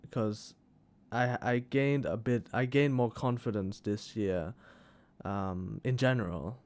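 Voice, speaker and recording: Young Thai male co-host, English as a second language, smooth clear tone, halting delivery. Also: fairly low pitch, darkish tone. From Thailand. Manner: halting, with restarts and "hella" slang. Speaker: podcast co-host. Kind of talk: casual chat.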